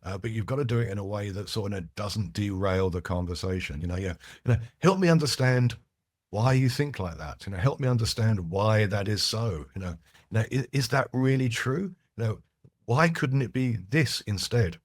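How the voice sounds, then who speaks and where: A man's voice: on the low side, normal speed, no room sound, clean studio sound. A man whose first language is French, a podcast guest explaining his ideas with frequent restarts and asides. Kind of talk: casual conversational interview.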